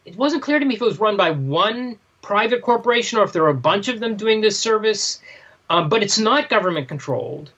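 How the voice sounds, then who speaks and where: Male co-host, high-pitched voice, questioning think-aloud conversational style, on a slightly muffled lo-fi line. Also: animated, fairly quick, bright, forceful tone. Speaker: podcast co-host. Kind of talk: casual discussion of a graphic novel.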